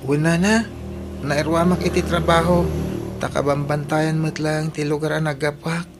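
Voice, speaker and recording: A man's voice: on the high side, level tone, normal speed, loud music behind the voice, lightly acted. A man playing a humble, lovestruck young runaway in a radio drama, polite and pleading with an Ilocano accent. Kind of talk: Filipino radio drama acting. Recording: noisy radio drama recording.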